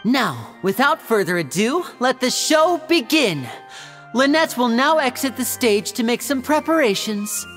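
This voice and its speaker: Young male showman: lightly high, charming, theatrical, polite game voice over faint music. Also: very animated, unhurried, a bit bright. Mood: theatrical showmanship. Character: polished, charming young male stage magician. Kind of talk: video game character acting.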